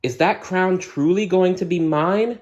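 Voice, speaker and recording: A man's voice: high voice, unhurried, darkish tone, highs cut off, voice pushed up. A man voicing a sweet, thoughtful young princess who muses through puzzles in a storybook. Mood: amazed.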